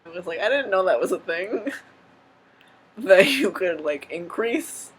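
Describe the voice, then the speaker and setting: A man's voice: sits high, lively intonation, unhurried, a bit bright, husky, low-quality recording. A young man casually answering viewer questions on his vlog, frank and rambling, swearing freely. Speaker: vlogger.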